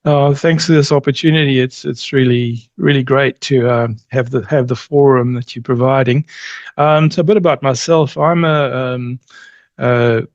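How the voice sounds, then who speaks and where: A middle-aged man, talking casually and haltingly as an interview guest. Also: medium pitch, fairly even intonation, no room sound, highs cut off. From born in Zimbabwe, grew up in South Africa, long settled in New Zealand. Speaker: interview guest, company representative.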